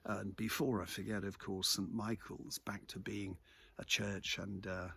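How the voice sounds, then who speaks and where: An older man chatting casually about local events in a hesitant, rambling, reflective way, with a husky voice. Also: on the low side, level tone, unhurried, sharp tone, a little hiss or hum. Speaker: local news vlogger. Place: outdoors in a quiet churchyard.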